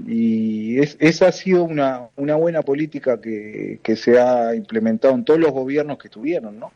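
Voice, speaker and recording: A Uruguayan man with a Rioplatense Spanish accent, speaking as an interview guest and running a transport company. His voice is mellow and dark, and he explains at length with plenty of fillers and restarts. The line sounds muffled and lo-fi. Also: medium pitch, normal speed. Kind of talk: interview guest, phone or remote call.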